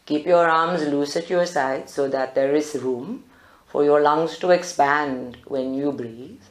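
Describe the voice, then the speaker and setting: A woman with a low-pitched voice leads a guided meditation. Her delivery is calm, deliberate and instructive. The live recording sounds very roomy. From South Africa. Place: indoor group meditation room.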